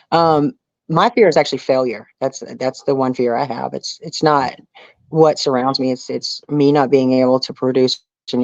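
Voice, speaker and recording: A woman's voice: a bass voice, gravelly, darkish tone, dry recording. Female interview guest, informal and emphatic, seeking agreement as she talks. Dry, slightly lo-fi sound. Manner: emphatic, conversational, often checking with listener. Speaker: guest, reality survival show contestant. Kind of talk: casual interview talk.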